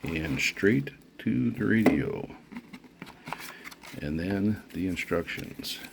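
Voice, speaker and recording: Very deep-voiced man, halting delivery. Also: expressive, creaky, background noise. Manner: halting, pauses often mid-phrase. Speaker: product reviewer. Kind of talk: unscripted unboxing commentary.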